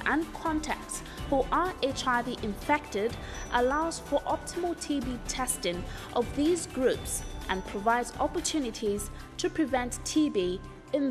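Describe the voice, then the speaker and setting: A woman reading scripted health education narration for a documentary in a measured, formal way. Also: sits high. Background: music.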